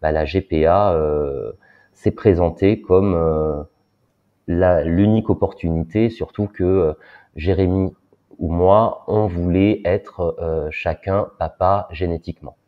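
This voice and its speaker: A man in his thirties, a guest telling his story in an interview, pausing often with fillers. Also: low voice. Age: 30s. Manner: hesitant, many filler pauses. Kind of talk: personal interview talk. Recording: dull lo-fi sound.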